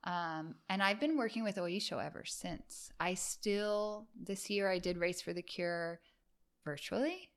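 A yoga and fitness instructor from the United States tells her story in a relaxed interview. She talks loosely, circling back with fillers and restarts as she goes. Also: on the low side, unhurried. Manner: chatty, with frequent fillers and restarts. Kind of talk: casual interview chat. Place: home, Miami.

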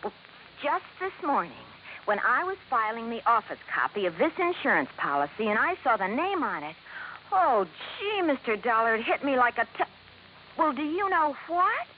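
An actress playing a chatty, naive, excitable young secretary in a 1950s radio drama, gushing and rambling in colloquial phrases. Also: female voice, on the high side, moderate pace. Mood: gossipy, excited. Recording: muffled old radio recording, faint hiss.

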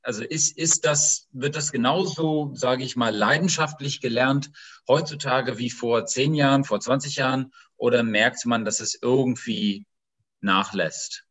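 A man asking questions after a talk, halting and full of restarts. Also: mid-range voice, a bit bright. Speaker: audience member asking questions. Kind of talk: conversational questions.

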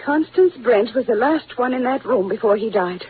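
A woman's voice: on the high side, expressive, normal speed, slightly rough. A woman playing a flustered, earnest office employee in 1940s radio style. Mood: anxious, insistent. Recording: tinny old radio recording.

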